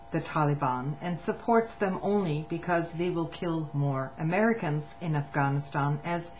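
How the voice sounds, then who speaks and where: Woman reading aloud over muffled hum. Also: low-pitched. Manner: measured reading with occasional stumbles and restarts. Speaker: reader of a public document. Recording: muffled lo-fi recording with faint hum.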